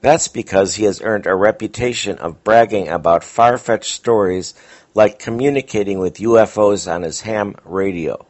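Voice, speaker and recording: A male host reads scripted narration about vintage radio shows. His voice sits on the low side. The recording is dull, with the top end missing. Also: normal speed, darkish tone. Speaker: podcast host introducing old radio episodes. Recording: dull, lo-fi sound.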